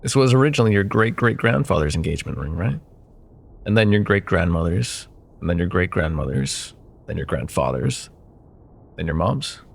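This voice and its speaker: A smug, wealthy CEO ex-lover played by a man in an ASMR audio drama. His voice is very low and breathy, with a gravelly creak. He drawls with silky condescension, possessive and menacing, leaving pointed pauses. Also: expressive, exaggerated voice. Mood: quietly covetous. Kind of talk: ASMR roleplay, intimate dramatic monologue.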